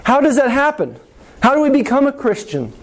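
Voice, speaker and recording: A man preaching a sermon to his congregation, slow and deliberate, with dramatic pauses and repetition. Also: very high voice, big pitch swings. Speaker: pastor.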